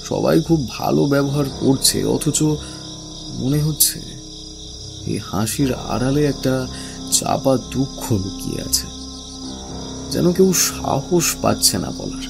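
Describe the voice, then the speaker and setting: A man voices a calm, brooding wandering mystic in a horror audio drama. His delivery is slow and gravelly, with wide swings of pitch that build suspense over a clear music bed. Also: heavily acted. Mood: gently probing. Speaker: first-person narrator. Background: music and chirping crickets.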